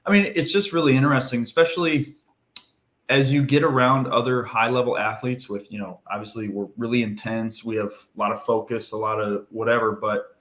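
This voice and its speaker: A young man from Minnesota talks casually as a podcast guest, rambling and restarting his sentences in an easygoing way. His voice is middle-pitched and carries the echo of a roomy space. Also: steady tone, brisk, a bit bright.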